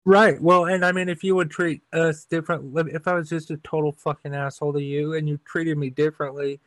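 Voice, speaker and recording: A man's voice: a little high, level tone, fairly quick, a bit bright, no room sound. A man hosting a podcast over a video call, with a stutter, swearing casually and agreeing often. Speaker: podcast host.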